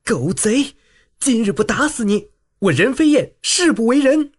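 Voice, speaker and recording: A man voicing a fierce young woman fighter who scolds in a shrill voice in a storytelling reading. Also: wildly swooping pitch, fast talker, sharp tone, heavily acted. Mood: furious. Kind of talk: storytelling audiobook.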